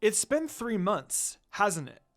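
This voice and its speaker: Narrator acting young woman, caring, friendly, upbeat, reassuring, varied pitch, fan story. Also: very animated, slow pace, a bit bright, soft background music, exaggerated voice. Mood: gentle.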